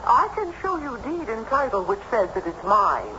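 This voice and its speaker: Lively, folksy, blunt townswoman. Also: a little high, moderate pace. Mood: blunt, incredulous. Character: plain-spoken, sceptical townswoman, hospitable housewife. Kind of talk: old-time radio drama acting. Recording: noisy dull old radio recording.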